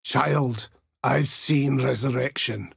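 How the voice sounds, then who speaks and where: A grim, pious holy warrior for a fantasy video game. His older voice is heavily gravelled and very husky, speaking slowly with solemn, righteous conviction. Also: mid-range voice, no echo, clean studio sound, exaggerated voice. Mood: solemn resolve. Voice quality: gravelly, raspy. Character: zealous, grim Templar holy warrior of God. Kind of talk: video game character voice lines.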